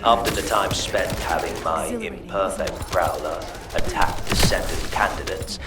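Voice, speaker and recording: An actor playing a cold, gloating villain scientist in a video game, speaking in a measured, menacing, condescending way. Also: male voice, low voice, distant mic, heavy background noise. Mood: smug, lecturing. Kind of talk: dramatic video game voice acting. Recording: roomy echo, loud music and gunfire.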